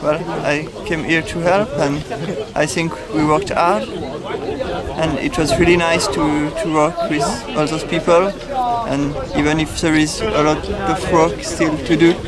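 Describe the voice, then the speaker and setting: A man's voice: on the high side, steady tone, measured pace. A man with a Swiss accent giving a volunteer's interview testimonial. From Switzerland. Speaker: student volunteer. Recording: outdoors amid loud crowd.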